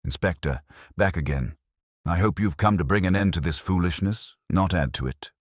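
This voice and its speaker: Man reading as an irritable, husky town councillor. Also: low-pitched, normal speed, dry recording, studio quality. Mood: irritated. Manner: irritated, dismissive.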